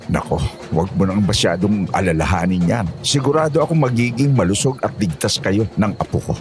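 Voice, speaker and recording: A man's voice: on the low side, a little fast, over music, close to an everyday voice. A man with a Filipino accent playing an older, fatherly but touchy father-in-law in a horror drama. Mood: fatherly, coaxing.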